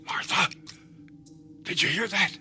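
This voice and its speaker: An actor playing an injured, gruff husband in a vintage television thriller, folksy, grumbling and dramatic. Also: male voice, high-pitched, measured pace, bright, forceful tone, soft background music, heavily acted. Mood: wary, hushed. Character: injured, gruff husband battling little monsters.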